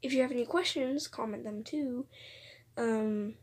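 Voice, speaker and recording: A young woman with a high, slightly breathy and rough voice that cracks now and then. She makes an informal, rambling announcement to her viewers at an unhurried pace, hesitating and circling back over her phrases. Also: a little mellow. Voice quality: occasional voice cracks. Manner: hesitant, informal, repeats herself. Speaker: video creator announcing voice auditions. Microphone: phone voice recorder app.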